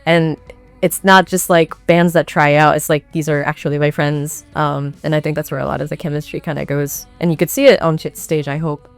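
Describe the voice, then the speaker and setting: A woman's voice: deep voice, steady tone, a little fast, vocal fry. A woman, an indie singer-songwriter and native speaker of Tagalog and English, chatting casually with self-deprecating humour. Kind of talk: casual interview chat. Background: music.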